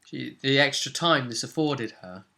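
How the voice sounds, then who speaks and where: A man's voice: medium pitch, expressive, moderate pace, vocal fry, sharp tone. A man casually recapping a tabletop role-playing session as game master, chatty and often ending on tag questions.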